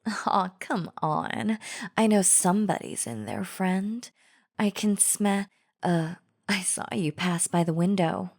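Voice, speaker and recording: Woman acting as chatty zombie girl for audio roleplay, fake friendly n coaxing, lots of vocal fry, takes her time. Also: fairly low pitch, expressive, takes it slowly, darkish tone, full character voice. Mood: coaxing, falsely friendly. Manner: casual, wheedling, drawling, falsely friendly. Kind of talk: scripted audio roleplay acting, addressing the listener.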